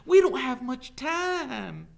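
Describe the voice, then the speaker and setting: A man's voice: very high-pitched, sing-song, takes it slowly, creaky, voice pushed up, full character voice. A man voicing an indignant young schoolteacher woman in an audiobook, urgent and pleading. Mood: urgent, frantic.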